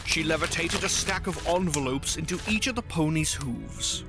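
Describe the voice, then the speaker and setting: Low-voiced woman narrating a story. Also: animated, moderate pace, over music.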